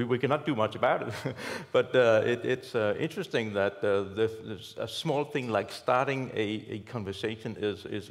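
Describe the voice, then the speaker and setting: A man's voice: on the low side, creaky, long reverb tail. A man answering on a conference panel as an app developer, hesitant, with many fillers and small laughs. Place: conference hall stage.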